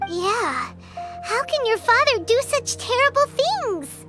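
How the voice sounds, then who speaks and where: A squeaky little fairy girl. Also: big pitch swings, slow, over music, heavily acted. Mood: reactive. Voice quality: squeaky, childlike. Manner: exaggerated, bouncy reactions. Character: small excitable floating fairy companion, girl. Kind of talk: game voice acting.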